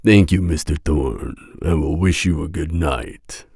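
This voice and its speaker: A man playing a masked villain mercenary in an ASMR roleplay, speaking in a formal, deliberate, seductive and menacing way. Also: a bass voice, gravelly, a little mellow, breathy edge, dry recording, studio quality, exaggerated voice. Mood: courteous, confident. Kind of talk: ASMR roleplay audio drama.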